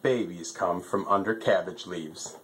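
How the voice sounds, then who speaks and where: Storybook alligator, man performing: insistent, quarrelsome, slow and deliberate. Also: low-pitched, a bit bright. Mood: stubborn. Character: stubborn, argumentative, loud alligator.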